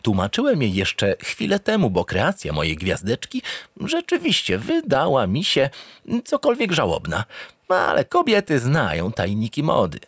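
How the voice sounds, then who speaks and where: An actor playing a naive, self-satisfied, doting spaceship captain who narrates a comic radio drama in an earnest, theatrical, pompous way. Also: male voice, mid-range voice, huge pitch swings, moderate pace, fairly bright tone, husky, dry recording, clean studio sound, heavily acted. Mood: proud, doting.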